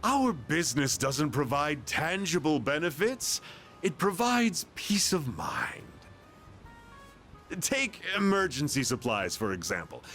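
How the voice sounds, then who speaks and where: Smug scheming salesman, slow and drawn out, wildly swinging pitch. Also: a bit bright, exaggerated voice. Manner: smug, lecturing, sly laughs. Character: scheming male salesman of bogus products, smug.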